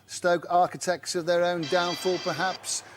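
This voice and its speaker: A man's voice: high-pitched, little pitch movement, unhurried, over music. A man presenting live football results from a studio, linking to match reporters.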